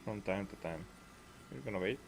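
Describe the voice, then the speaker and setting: A man's voice: lowish voice, normal speed, creaky, background noise. A man giving casual, off-the-cuff commentary as he plays a video game, muttering his reactions. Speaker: gaming video creator playing and commenting.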